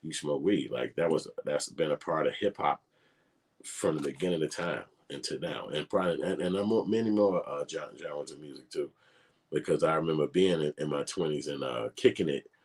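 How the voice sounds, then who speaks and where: A man's voice: a bass voice, normal speed, darkish tone, breathy edge. A man, telling profane, laid-back stories in street slang. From Chicago. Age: 40s. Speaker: filmmaker vlogger. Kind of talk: casual storytelling.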